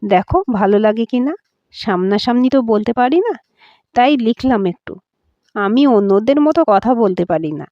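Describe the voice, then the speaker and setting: A woman's voice: a little high, expressive, moderate pace, no room sound, lo-fi sound, natural voice. A woman voicing a shy, reserved young husband in an audio story, plain and earnest in manner. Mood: shy, tender.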